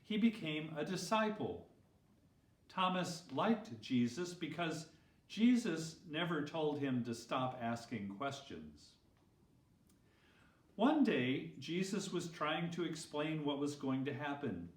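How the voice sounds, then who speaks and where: A man, a pastor, reading a children's story expressively with deliberate phrasing. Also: on the high side, expressive. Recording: roomy echo, distant microphone.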